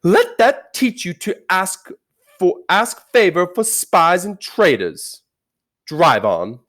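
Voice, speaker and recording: A man voicing a cruel, imperious witch queen who shouts commands in a shrill raised voice. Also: huge pitch swings, slow pace, heavily acted. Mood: furious.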